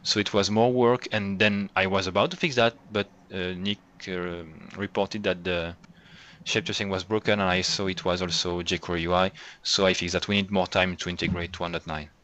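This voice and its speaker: A man leading an online developer meeting in casual chat, quick and lively, laughing often, on a computer mic. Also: sits low. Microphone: computer mic on a video call.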